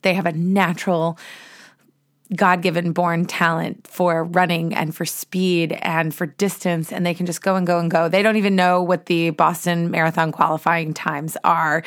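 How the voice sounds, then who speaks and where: Hoarse-voiced woman podcast host. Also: lowish voice. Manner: earnest, enthusiastic. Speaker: podcast host and running coach. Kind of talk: solo podcast talk.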